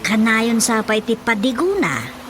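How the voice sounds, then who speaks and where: A woman's voice: on the high side, very animated, moderate pace, music in the background. A woman acting a chatty, gossiping villager in an Ilocano radio drama comedy. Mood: gossipy.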